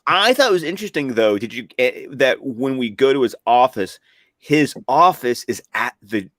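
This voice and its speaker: Animated man in casual commentary, dry sound. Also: mid-range voice, normal speed, no echo. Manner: reflective, analytical, drawing comparisons. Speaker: podcast co-host discussing cartoon episodes.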